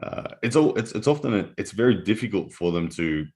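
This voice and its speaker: A man commentating live on an arm-wrestling match, analytical and full of restarts, his voice going hoarse in the early morning. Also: on the low side, animated, brisk, darkish tone. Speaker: armwrestling commentator.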